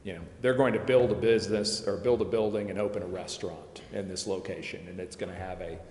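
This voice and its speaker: A man explaining finance details at a city committee meeting, measured and full of fillers and restarts. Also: low voice, fairly even intonation, darkish tone. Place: council chambers. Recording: echoey chamber with long reverb, faint noise.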